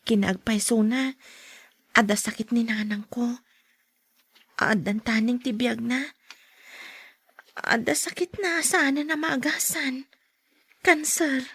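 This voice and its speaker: A woman's voice: fairly high pitch, fairly even intonation, takes it slowly, pauses often, fairly bright tone, slightly rough, no room sound, heavily acted. A woman acting a polite, modest young working daughter in a radio drama, with an Ilocano accent. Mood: earnest, subdued.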